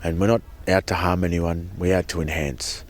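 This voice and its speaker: A man's voice: low voice, little pitch movement, normal speed. A man giving an earnest, impassioned personal account as a protester, often repeating and restarting his words. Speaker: protester at a sacred site camp.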